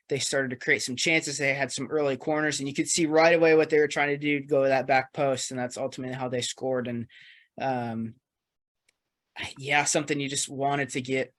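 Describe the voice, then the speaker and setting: Even-toned man analysing football. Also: mid-range voice. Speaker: podcast panellist. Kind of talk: casual match analysis.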